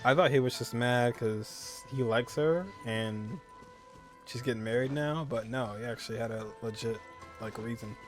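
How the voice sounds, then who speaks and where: A man's voice: mid-range voice, moderate pace. A young man giving informal let's play commentary, reacting aloud to the game with its music behind him. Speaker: gaming streamer.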